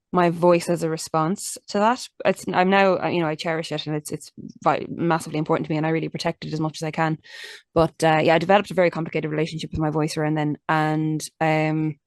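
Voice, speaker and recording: A woman's voice: low-pitched, steady tone, brisk, no room sound, studio quality. A young adult Irish woman in a casual interview, talking candidly and chattily with lots of restarts and fillers. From Ireland. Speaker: interview guest, musician.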